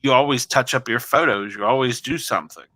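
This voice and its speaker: A man's voice: medium pitch, brisk, sharp tone, no echo. A man co-hosting a casual talk show, chatting in an easygoing, jokey way and laughing often. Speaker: co-host; photographer. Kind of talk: casual chat.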